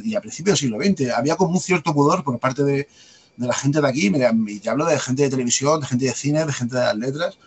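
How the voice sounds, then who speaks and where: A man with a light Andalusian accent, a guest talking at length in a rapid, discursive way and tagging on questions. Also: medium pitch, breathy edge. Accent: light Andalusian Spanish. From Andalusia, Spain. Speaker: guest, publisher and author. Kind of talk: conversational interview answers.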